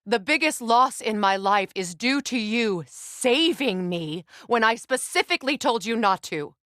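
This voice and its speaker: A woman playing a proud, wounded warrior goddess and queen in a video game, measured, regal and dry. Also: fairly high pitch, animated, a bit bright, dry recording. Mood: bitter, angry. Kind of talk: dramatic video game voice acting.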